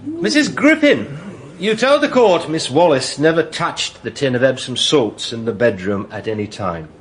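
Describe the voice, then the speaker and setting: An actor playing a suave, sly British defence barrister, self-assured and insistent, in a courtroom radio drama. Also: male voice, mid-range voice, big pitch swings, moderate pace, lightly acted. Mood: cross-examining.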